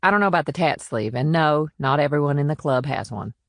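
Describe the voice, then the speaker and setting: A man's voice: on the high side, expressive, brisk, no echo, voice pushed up. A firm small-town policewoman, acted out by a male narrator in a crime audiobook. The delivery is plainspoken and matter-of-fact, with a protective edge toward the locals. The sound is dry, with very little room. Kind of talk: audiobook character dialogue.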